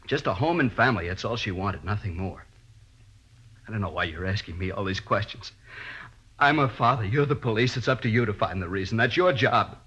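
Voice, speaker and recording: A middle-aged father with a low, husky voice, bewildered and fiercely protective of his child. He speaks plainly and defensively in 1950s radio drama style, heard through a muffled old recording. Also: lively intonation, brisk, sharp tone, heavily acted. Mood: indignant, wounded.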